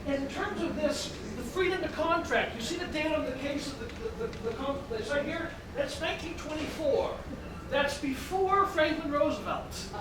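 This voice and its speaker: A man explaining zoning law at a town forum in a loud, lecturing, skeptical way. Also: extremely high pitch. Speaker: attorney. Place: meeting room. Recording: echoey room, far from mic, noisy.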